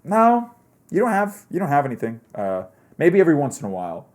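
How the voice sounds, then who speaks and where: A man's voice: a little high, huge pitch swings, a little fast, darkish tone. A young man from Texas, with only a faint Texan accent, chatting as a podcast guest and telling stories with many fillers and restarts. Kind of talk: casual chat.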